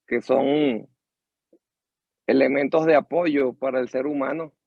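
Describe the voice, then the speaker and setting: An older man with a Venezuelan accent, a musician and teacher telling his life story in an interview, measured and reflective. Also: medium pitch, fairly even intonation, creaky, no echo, highs cut off. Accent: Venezuelan Spanish.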